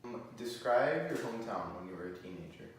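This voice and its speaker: A young man reads out interview questions in a casual way. His voice is fairly low. He sounds a little away from the microphone, in a room with heavy echo. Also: big pitch swings, brisk. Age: teenager or young adult. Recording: echoey room, distant mic, faint noise.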